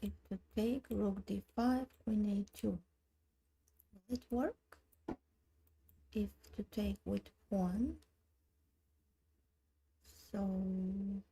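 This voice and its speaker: A woman in her thirties from Armenia, who speaks Armenian natively, thinking aloud in a slow, halting way while she plays online chess. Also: medium pitch, steady tone, gravelly, warm tone, low-quality recording. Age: 30s. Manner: slow, halting, muttering moves half to herself. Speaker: chess streamer.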